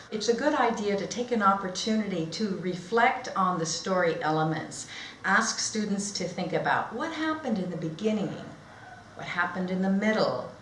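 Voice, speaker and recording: A woman teacher demonstrating read-aloud storytelling and instruction in simple, expressive phrasing. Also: fairly low pitch, slight background noise. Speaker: teacher trainer. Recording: echoey room.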